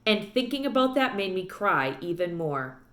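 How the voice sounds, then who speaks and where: A woman's voice: medium pitch, big pitch swings, normal speed, a bit bright. A woman narrating a children's book aloud expressively, recorded with roomy echo.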